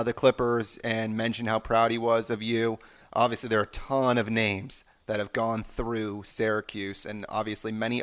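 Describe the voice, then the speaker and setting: A man's voice: on the low side, fairly bright tone, smooth. A man hosting a conversational sports podcast interview, effusive and enthusiastic in how he talks. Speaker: podcast host.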